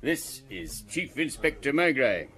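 A man's voice: medium pitch, big pitch swings, measured pace, bright, forceful tone. An actor playing a sardonic, sociable village man in a radio drama, familiar and goading in manner.

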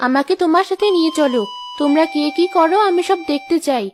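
A woman performs a poor, kind-hearted girl in a fairy-tale cartoon. Her voice is high and girlish, humble and earnest, with music clearly playing behind her. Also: fast, a little mellow, natural voice.

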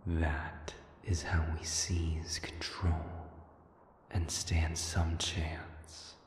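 Cold rebel leader, extremely low, hushed, menacing, long echoing reverb, audio drama. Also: male voice, completely flat delivery, in a whisper, exaggerated voice. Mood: cold, resolute. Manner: slow, deliberate, hushed, menacing delivery. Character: cold, patient, powerful otherworldly male rebel leader. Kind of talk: dramatic audio roleplay acting. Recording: echoey hall reverb with noisy ambience.

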